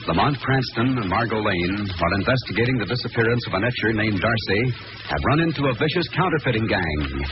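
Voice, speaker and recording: A man's voice: fairly low pitch, animated, brisk, noisy background. Dramatic, swooping male radio narrator. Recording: muffled old radio recording. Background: music.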